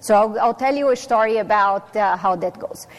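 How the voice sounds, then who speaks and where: A woman's voice: medium pitch, moderate pace. A middle-aged woman from Brazil with a Brazilian Portuguese accent, a native Portuguese speaker, giving a chatty, self-deprecating conference talk with many fillers. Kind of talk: personal talk to a live audience. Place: conference hall. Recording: long reverb of a hall.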